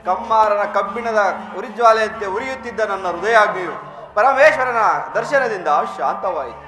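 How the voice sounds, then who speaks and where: A man's voice: sits high, normal speed, close to an everyday voice. A man playing a humble moon god who pleads in formal, impassioned mythological stage declamation. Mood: grateful, relieved. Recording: roomy echo with music behind.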